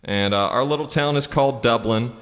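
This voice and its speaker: A man's voice: mid-range voice, fairly quick, fairly bright tone, long reverb tail. A man with a Midwestern American accent chats between songs as a performing musician. He is easygoing and folksy, talking like someone from a small town in Indiana. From small-town Indiana, United States. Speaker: musician and singer. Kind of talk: casual introduction between songs. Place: church, filmed performance.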